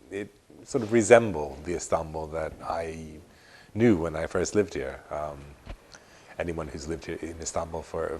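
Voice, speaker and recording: A man's voice: low voice, a little mellow, a little hiss or hum. An older man speaking reflectively in an interview, hesitant and rambling, often correcting himself. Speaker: interviewee, long-time resident.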